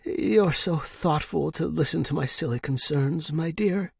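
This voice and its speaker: A man's voice: on the high side, a little slow, gravelly, slightly rough, no echo, pitched above the performer's own voice, full character voice. A man voicing a genteel older wealthy lady in an audiobook, gracious but fretful, with mannered, polite, delicate speech. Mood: delicately worried. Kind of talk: audiobook character voice.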